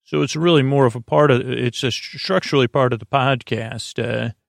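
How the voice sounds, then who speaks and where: A man with a gravelly, heavily creaky voice talks close and dry, like a bedtime podcast host. He meanders through soothing, halting tangents in a mid-pitched register, lulling and rambling as he goes. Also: no echo.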